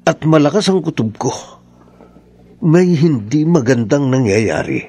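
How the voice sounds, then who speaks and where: A man playing a suspicious, watchful village husband in a radio drama, speaking in a deliberate, brooding way. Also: a little high, animated, dark tone, no room sound. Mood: grave, suspicious.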